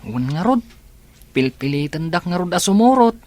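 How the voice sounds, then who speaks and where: A troubled teenage schoolgirl, voiced by a woman in a radio drama, speaking softly and hesitantly. Her voice sits fairly low for a girl. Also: very animated, moderate pace, no echo. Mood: earnest. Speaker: lead character. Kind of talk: Ilocano radio drama acting.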